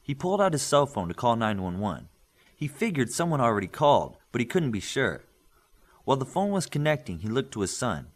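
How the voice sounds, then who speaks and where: A man reading a superhero story aloud as scripted narration, in an expressive storytelling manner. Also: mid-range voice, a little fast. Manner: expressive storytelling, acting out dialogue. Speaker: audiobook narrator.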